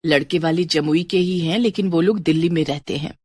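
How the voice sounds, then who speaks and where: A woman voicing a kindly middle-aged mother with a gentle, coaxing manner in a family story. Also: deep voice, brisk, husky, voice pushed down. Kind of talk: Hindi family-story dramatization.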